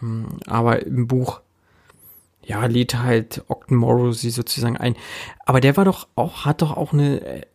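A man's voice: mid-range voice, steady tone, normal speed, gravelly, a little mellow. A man co-hosting a casual film discussion podcast, often checking for agreement with short tag questions. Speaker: podcast co-host.

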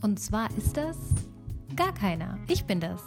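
A woman's voice: mid-range voice, sing-song, measured pace, creaky, over music. A middle-aged woman from Germany talking casually and alone as a podcast host.

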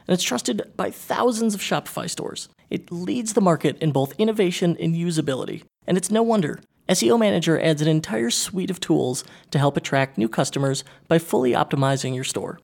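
Energetic podcast host guy, voice on the higher side, reads ads and chats. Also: fairly quick, vocal fry, hardly any pauses. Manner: upbeat, energetic. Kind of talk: read ad spots and casual interview.

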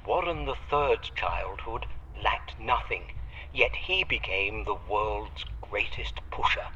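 Over a dull, hissy radio link comes a loyal butler, acted by a man, formal and dryly sardonic. His voice is fairly low yet bright and crisp-edged, protective beneath the wit. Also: animated, takes it slowly, heavily acted. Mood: earnest, protective. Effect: radio filter. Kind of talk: audio drama acting. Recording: band-limited radio link with noisy hiss.

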